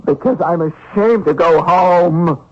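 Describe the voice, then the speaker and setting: A man's voice: on the high side, takes it slowly, a little mellow. A man playing a miserable, weary Russian peasant vagrant in a radio drama, sullen, grumbling and plaintive. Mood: hurt, resentful. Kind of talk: old-time radio drama acting. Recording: dull, lo-fi radio drama recording.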